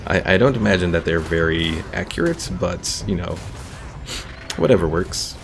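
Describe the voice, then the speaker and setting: A man giving relaxed, casual live game commentary as a streamer, thinking aloud as he plays. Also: low voice, moderate pace, vocal fry, a little hiss or hum. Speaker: gaming streamer. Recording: game music behind the voice.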